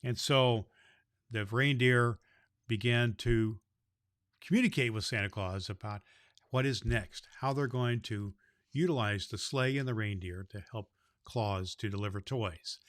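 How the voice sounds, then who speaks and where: A man playing a jolly, big-hearted Santa Claus who hosts a children's holiday story podcast in a folksy, halting, rambling way. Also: mid-range voice, no echo, studio quality, close to an everyday voice. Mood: explaining.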